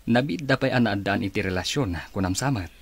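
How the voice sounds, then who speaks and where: Teasing big brother: low, husky voice, Ilocano accent, radio drama. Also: male voice, expressive, brisk, dry recording, full character voice. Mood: encouraging. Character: teasing, curious older brother.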